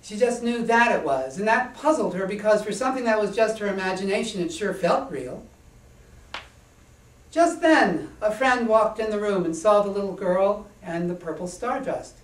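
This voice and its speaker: A man reading a children's story from a stage in a deliberate, whimsical, rhythmic way. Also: sits high. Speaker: author reading own story. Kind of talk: children's storytelling to a live audience. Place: small indoor stage before an audience. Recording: echoey room, distant microphone.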